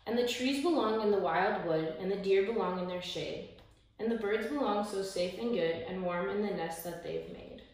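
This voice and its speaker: A young woman reading a children's picture book aloud in measured, rhythmic verse, with the odd small stumble. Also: medium pitch, a little mellow. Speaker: story time reader. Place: small indoor room, seated by a window. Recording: echoey room, distant mic, faint noise.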